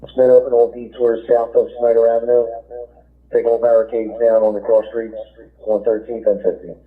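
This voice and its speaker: A man's voice: medium pitch, little pitch movement, moderate pace. A man working as a police officer, talking over police radio. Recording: tinny narrowband police scanner radio.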